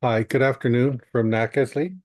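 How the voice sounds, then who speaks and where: An elder and chief greets a webinar over a video call, his voice sitting in an ordinary middle male pitch. He speaks slowly and reflectively, restarting his sentences and filling gaps with ums. The sound is close and dry. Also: steady tone, darkish tone, no echo. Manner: slow and reflective, with many restarts and fillers.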